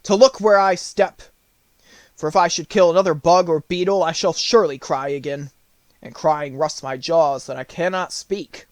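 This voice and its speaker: A man voicing a gentle, tender-hearted woodman made of tin, earnest and careful, in a storybook. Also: a little high, fairly even intonation, moderate pace, dry recording, natural voice. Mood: regretful.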